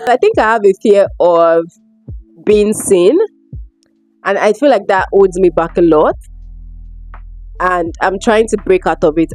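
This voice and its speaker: Low-voiced young Nigerian woman, chatty. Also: darkish tone, no room sound. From Lagos, Nigeria. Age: young adult. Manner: chatty, informal, emphatic repetition. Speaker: vlogger answering viewer questions. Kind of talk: casual chat to camera.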